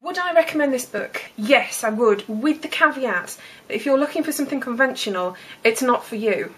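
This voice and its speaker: A woman's voice: a little high, lively intonation, normal speed, poor audio. A woman book vlogger presenting and reviewing a fantasy book on camera. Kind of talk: book vlog with dramatic readings.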